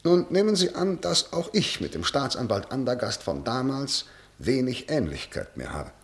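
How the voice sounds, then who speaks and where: An actor playing a controlled, reserved male state prosecutor in a 1960s German radio drama, speaking in a measured, formal, deliberate way. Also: medium pitch, sing-song. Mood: controlled, probing.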